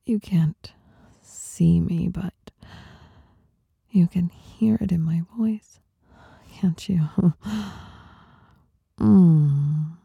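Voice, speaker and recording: A woman performing an intimate ASMR monologue in a breathy whisper, seductive, teasing and softly dominant, close to the microphone. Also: on the low side, drawn-out words, long pauses, no echo, muffled recording. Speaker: performer of adult audio recordings.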